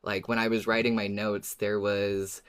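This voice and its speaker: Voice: deep voice, normal speed, clean tone. A podcast host chatting casually about a story, with lots of "like" and "you know". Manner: casual, relaxed, filler-heavy.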